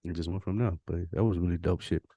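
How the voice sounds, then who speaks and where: American man, deep voice, dry close sound, informal slang, appreciative podcast host chat. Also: fairly even intonation, fast, warm tone, no echo. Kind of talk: casual conversational podcast talk.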